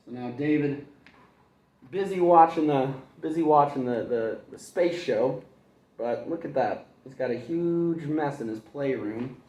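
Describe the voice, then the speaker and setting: A man's voice: mid-range voice, unhurried, warm tone. Chatty male teacher reading aloud, echoey room. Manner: chatty, digressive asides, chuckles. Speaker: kindergarten teacher. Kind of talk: reading a picture book aloud to children.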